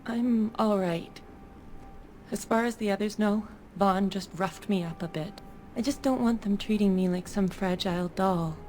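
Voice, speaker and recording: A woman's voice: medium pitch, measured pace, a little mellow, a little hiss or hum, close to an everyday voice. A woman playing a brave, affectionate young elf in a fantasy video game, hurt but proud.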